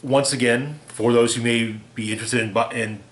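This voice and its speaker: A man with a medium-pitched voice reads a rhyming holiday story aloud. His delivery is theatrical and deliberate, shaped around the verse. The recording is roomy and echoey, like a home reading. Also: fairly even intonation. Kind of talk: expressive read-aloud of a rhyming holiday parody.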